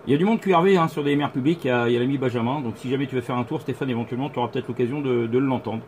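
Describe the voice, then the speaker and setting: Man, radio operator, casual contact chat, indoors, mid-pitched voice, slight background hiss. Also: racing, a little mellow. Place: indoors at home.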